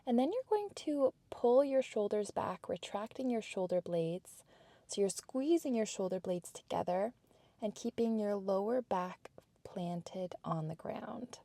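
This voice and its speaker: Slow, mellow woman guiding a workout. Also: medium pitch, expressive, no echo. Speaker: fitness and yoga instructor. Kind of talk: guided workout instruction with relaxation meditation cadence.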